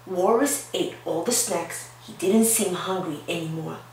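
A woman in her thirties, a preschool teacher reading aloud and talking to young children in a simple, expressive way with questions for them. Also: lowish voice, unhurried. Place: indoor classroom-like room. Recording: roomy echo, distant mic, faint noise.

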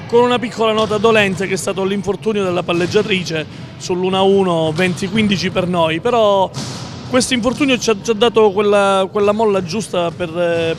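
A man in his forties, a volleyball coach giving a halting TV interview and repeating words as he goes. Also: high voice. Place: large indoor sports hall. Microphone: handheld reporter mic. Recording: loud busy gym background noise.

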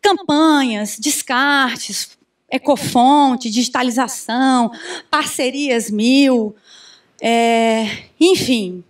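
High-voiced Brazilian woman giving a lively talk. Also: drawn-out words. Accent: Brazilian Portuguese. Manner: animated, chatty, asks the audience questions. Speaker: conference speaker. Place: large conference room with audience. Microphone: handheld mic. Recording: distant room sound from far camera.

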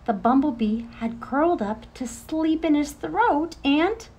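A middle-aged woman reading a children's picture book expressively, with drawn-out, dramatic phrasing. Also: high voice, lively intonation, a little mellow, a little hiss or hum. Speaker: storyteller. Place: outdoors on a paved porch.

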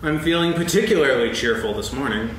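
A sarcastic, self-pitying old donkey, as a man reads him on stage, dry and mournful, his pitch rising and falling widely. It sounds recorded from afar in an echoey hall with steady background noise. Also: fairly quick, distant mic, close to an everyday voice. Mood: gloomy. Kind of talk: staged reading of a children's play.

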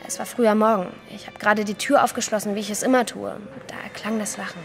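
A woman's voice: medium pitch, fairly even intonation, quick pace, faint music behind, close to an everyday voice. An actress playing a frightened, indignant young woman in an audio drama, stammering hesitantly at times and speaking emphatically. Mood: uneasy. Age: young adult.

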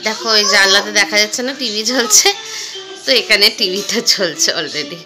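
A woman's voice: medium pitch, normal speed, reverberant, music in the background. A woman in her thirties with a West Bengal Bengali accent chats casually to viewers, conversational and mixing in English words. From India. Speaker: home vlogger and mother. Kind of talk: casual vlog chat to viewers. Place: small home rooms and kitchen, indoors. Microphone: phone at arm's length. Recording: clear background noise, handheld phone.

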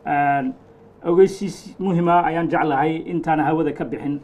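A middle-aged man from Somalia hosting a TV studio discussion, speaking haltingly with many fillers and restarts. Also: fairly high pitch, a little mellow. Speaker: TV talk show host. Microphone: lapel mic.

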